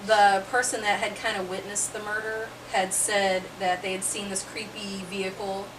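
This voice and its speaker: A woman answers questions eagerly and chattily. Her voice sits at a middle pitch. She is picked up from across the room, with clear background noise over her voice. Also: little pitch movement, normal speed. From southern West Virginia. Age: 30s. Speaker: team historian. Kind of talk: casual interview answers. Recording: distant mic with clear noise.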